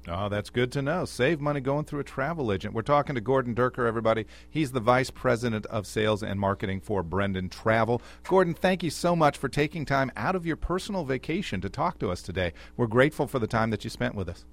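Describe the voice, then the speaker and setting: An upbeat man hosts a radio talk show, asking his guest direct questions at an ordinary middle pitch. He speaks clearly into a studio microphone. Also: animated, fast, few pauses. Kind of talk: radio interview hosting.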